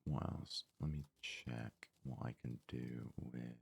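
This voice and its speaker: A man with an extremely low voice full of heavy vocal fry. He murmurs breathy, husky game commentary in an ASMR style, unhurried and thinking aloud as he plays. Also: steady tone, no room sound. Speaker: let's play host.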